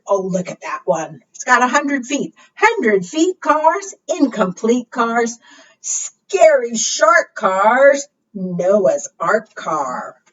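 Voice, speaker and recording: A woman leads a storytime for young children with an unhurried, sing-song delivery. Her pitch swings widely as she reads rhymes and asks simple questions. The sound is slightly dull, as if the microphone sits some way off. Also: fairly bright tone, slightly rough. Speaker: children's librarian leading preschool storytime. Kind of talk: read-aloud storytime with counting rhymes. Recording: muffled, distant microphone.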